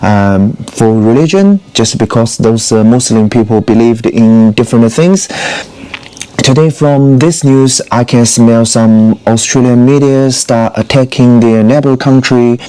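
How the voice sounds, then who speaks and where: A man whose first language is Chinese talks through his opinions in a slow, unhurried way. He hesitates often, fills pauses with "uh" and "um", restarts his phrases, and tosses out wry rhetorical questions. His voice sits at an ordinary middle pitch. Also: mellow, clear, resonant tone, poor audio. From China. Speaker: video commentator. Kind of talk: casual opinion commentary.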